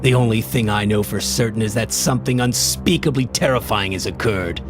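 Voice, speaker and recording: A man's voice: lowish voice, brisk, music in the background, close to an everyday voice. A man playing a shaken, grave witness who narrates a radio horror drama in a somber, dramatic way. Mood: shaken, ominous. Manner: somber, dramatic first-person storytelling. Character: shaken, grave male friend narrating a horrible mystery.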